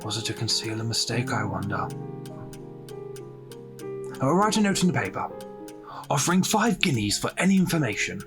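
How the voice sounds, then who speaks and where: A man playing a kindly, principled older gentleman in a period audio drama, earnest and genteel. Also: mid-range voice, very animated, normal speed, long pauses, over music, natural voice. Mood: pensive.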